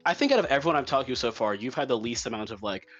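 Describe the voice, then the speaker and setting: Young guy podcast host, chatty, casual, mid pitch, faint music under him. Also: expressive, a bit bright, muffled recording. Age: young adult. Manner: chatty, quick to laugh. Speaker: podcast interviewer. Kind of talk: casual interview chat.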